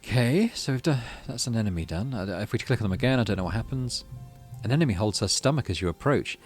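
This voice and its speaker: Low-voiced, animated male gamer reading aloud. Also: fast talker, soft background music. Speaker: gaming channel host. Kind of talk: let's play narration, reading game text with casual commentary.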